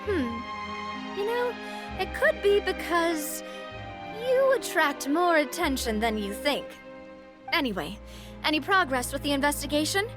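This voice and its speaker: A woman's voice: sits high, big pitch swings, measured pace, pauses often. A woman voicing a breezy, confident young female leader in a video game, self-assured and lightly teasing. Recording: music behind the voice.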